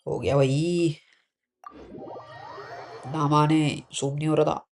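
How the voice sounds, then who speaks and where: A man's voice: fairly high pitch, fairly even intonation, very fast, a little hiss or hum. A man from India with an Indian accent, chatting informally as he streams a game. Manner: informal, mixes Hindi and English. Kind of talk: casual live-stream chat. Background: faint game music.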